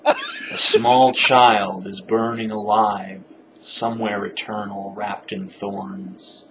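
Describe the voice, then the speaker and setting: A young man giving a solemn, dramatic poetry recitation, brooding, overwrought and halting. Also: on the low side, little pitch movement, lo-fi sound. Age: young adult. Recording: noisy amateur video playback.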